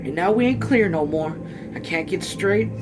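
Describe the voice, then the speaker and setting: A man's voice: high-pitched, animated, moderate pace, music in the background, pitched above the performer's own voice. A man reading a novel aloud as an anxious, weary rural mother who pleads earnestly in folksy dialect. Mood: pleading, distressed.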